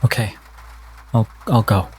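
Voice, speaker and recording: A man voicing a shaken, meek, polite woman in a tabletop game, speaking haltingly. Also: on the low side, steady tone, darkish tone, slight background noise, lightly acted. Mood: meek, agreeable. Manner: hesitant, halting. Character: shaken, meek woman who lives alone, polite and compliant.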